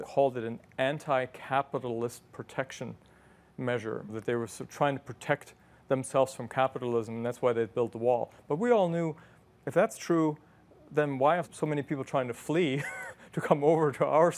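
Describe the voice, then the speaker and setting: A man, a guest in an interview, telling his memories in a thoughtful, measured way. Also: mid-range voice, steady tone, a little mellow. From West Germany. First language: German. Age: 50s. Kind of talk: reflective conversational storytelling.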